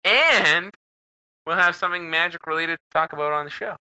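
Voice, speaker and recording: A man's voice: fairly high pitch, normal speed, bright, forceful tone, clean tone. A man with a Canadian accent co-hosting a podcast, joking around and swearing freely. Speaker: podcast co-host. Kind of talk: casual banter. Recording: slightly muffled podcast audio.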